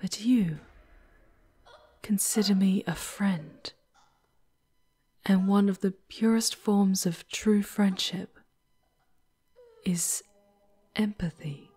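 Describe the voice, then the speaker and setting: A woman voicing an ancient, caring female demon guide in an ASMR fantasy roleplay, speaking formally and softly, with a breathy, theatrical delivery. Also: mid-range voice, very slow, long pauses. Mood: moved, tender. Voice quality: soft, breathy. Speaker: voice performer in audio roleplay.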